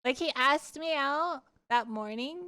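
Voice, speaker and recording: A woman livestreamer casually chatting with her viewers and telling personal stories in an animated, candid, giggly way, swearing freely. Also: high-pitched, measured pace. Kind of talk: casual chat and personal storytelling.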